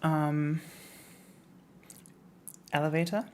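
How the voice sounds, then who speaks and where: A woman's voice: low-pitched, fairly even intonation, drawn-out words, slight background noise. Rambling English woman streamer. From England. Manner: rambling, lots of likes and ums, playful rants. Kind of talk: casual livestream chat.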